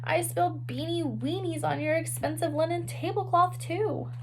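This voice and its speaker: Storybook kindergarten girl, acted by a woman: high, childish, blunt, exclamatory, pestering; noisy recording. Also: moderate pace, darkish tone. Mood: chatty, eager. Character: excitable, blunt little kindergarten girl narrator-heroine.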